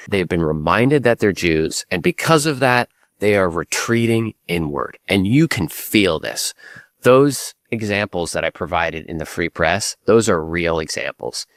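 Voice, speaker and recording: Grave deep-voiced Canadian man. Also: expressive, no room sound, studio quality. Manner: grave, measured, slows for emphasis. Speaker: guest writer. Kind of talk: interview answers.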